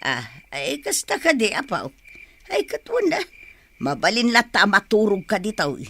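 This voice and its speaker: A woman's voice: mid-range voice, huge pitch swings, unhurried, a bit bright, slight background noise, heavily acted. A woman playing a fussy, affectionate old grandmother in a radio drama, exclaiming and doting. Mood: fond, surprised.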